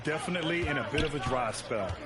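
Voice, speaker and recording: An actor playing a TV color analyst who explains plays in a basketball video game. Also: male voice, fairly high pitch, expressive, fast, over a noisy crowd, close to an everyday voice. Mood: analytical. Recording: distant, echoey, loud music over game audio. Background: loud music, bouncing basketball.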